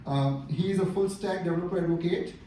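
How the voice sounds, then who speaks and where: A man's voice: sits high, fairly quick, noisy background. Man introducing a speaker in an echoey room. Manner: casual with fillers. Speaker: meetup organizer. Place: large meeting room. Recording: echoey room, distant speaker, audience behind.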